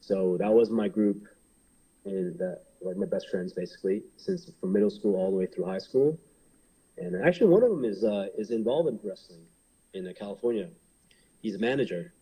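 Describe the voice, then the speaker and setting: A man's voice: lowish voice, moderate pace, warm tone, slightly rough, low-quality recording. A man in his thirties from Hong Kong, a pro wrestler, talking casually in an interview and rambling with many fillers and restarts.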